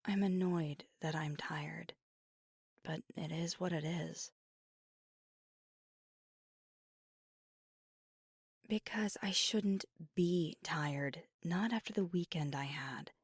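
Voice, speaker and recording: A woman playing a proud, fiery but weary werewolf girlfriend in an intimate ASMR roleplay, with a hushed, breathy, halting delivery that often slips into a whisper. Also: on the low side, dry recording, studio quality, full character voice. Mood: weary, defensive.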